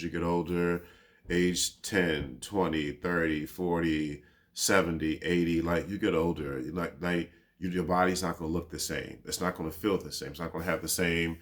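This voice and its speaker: A man in his thirties with a very low, deep voice speaks in a personal, reflective monologue. His delivery is laid-back and rambling, peppered with easy fillers as he thinks aloud. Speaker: podcast host.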